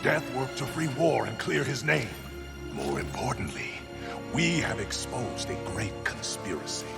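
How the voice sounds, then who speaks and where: An ominous horseman in a video game. He is grave and gravelly, with big swings of pitch. Music sits behind him. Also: big pitch swings, exaggerated voice. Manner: grave, deliberate. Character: grim, ominous apocalyptic horseman. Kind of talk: video game cutscene acting.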